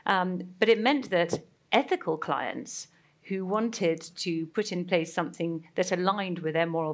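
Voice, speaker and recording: A woman speaking on a conference panel in long, measured and emphatic sentences. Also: fairly low pitch. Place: conference hall.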